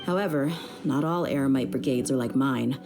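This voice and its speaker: A woman's voice: very deep voice, normal speed, music in the background. A woman playing an easygoing, loyal young female mercenary leader in a video game, speaking in a casual, frank, slangy way. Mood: frank, serious.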